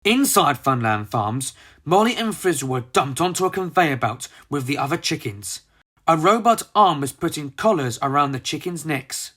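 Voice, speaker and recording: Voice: medium pitch, big pitch swings, moderate pace, fairly bright tone. A storyteller reading a children's book aloud in a scripted, dramatic style.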